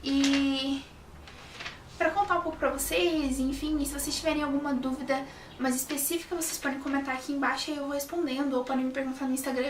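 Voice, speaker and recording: A woman's voice: high-pitched, a little hiss or hum. A young woman, a journalism student, chatting casually to camera and often restarting her sentences. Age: young adult. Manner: chatty, restarts often, says "sabe?" a lot. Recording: roomy echo, mic a bit far off.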